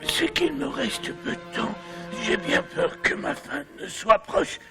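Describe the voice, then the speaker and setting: An actor playing a frail, sick Moorish fugitive in a cartoon, pleading in a weak, failing voice. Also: male voice, very high voice, sing-song, slow pace, vocal fry, exaggerated voice. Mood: dying, grateful. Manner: pleading, breaks into whispers. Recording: music behind the voice.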